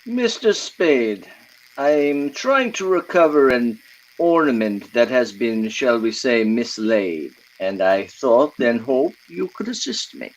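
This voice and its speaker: An actor playing a fussy, polite, furtive Greek crook with formal, hesitant phrasing, in old-time radio style. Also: male voice, mid-range voice, very animated, takes it slowly, rough recording. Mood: polite, cautious. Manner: formal, hesitant, over-precise.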